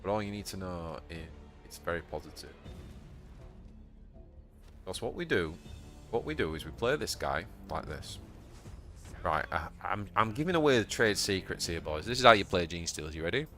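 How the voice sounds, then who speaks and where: A man with a Yorkshire accent talks his viewers through a live card game in a lowish voice that leaps all over the place. He gets excitable and yelps high at the big plays, with faint music playing behind him. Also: normal speed, pauses often. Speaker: streamer. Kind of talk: live gameplay commentary.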